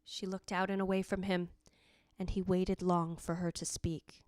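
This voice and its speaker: A woman's voice: on the low side, little pitch movement, darkish tone, no room sound, studio quality, close to an everyday voice. A woman playing the jealous, anguished ghost of a dead wife, narrating in a literary, theatrical old-time radio drama style. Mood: wistful. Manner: literary, theatrical, deliberate delivery.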